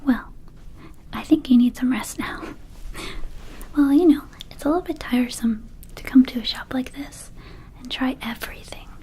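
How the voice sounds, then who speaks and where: Woman as friendly vampire girl minding an herb shop, ASMR roleplay. Hushed, breathy, light high voice, gently coaxing. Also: normal speed, pauses often, close to an everyday voice. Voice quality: soft breathy whisper. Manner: soothing, coaxing, hesitant asides. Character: friendly, mischievous young female vampire herb-shop keeper.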